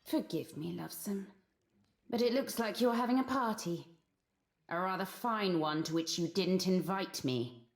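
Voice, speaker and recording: A woman's voice: lowish voice, moderate pace, close to an everyday voice. An actress voicing a bold, mocking female pirate captain in a video game, with a drawling theatrical delivery. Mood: teasing, mocking. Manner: drawling, theatrical, archaic flourishes. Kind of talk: video game character acting.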